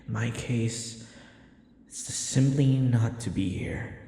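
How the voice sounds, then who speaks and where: A man's voice: mid-range voice, slow pace, warm tone. A man playing a devoted, nervous servant of a vampire mistress in an audio roleplay, stammering and pleading. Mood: anxious, uneasy. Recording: echoey, reverberant room with faint noise.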